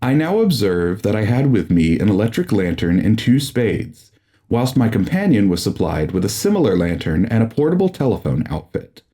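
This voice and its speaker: A man's voice: lowish voice, sing-song, moderate pace, warm tone. A man narrating a gothic horror audiobook in a solemn, formal and dramatic manner.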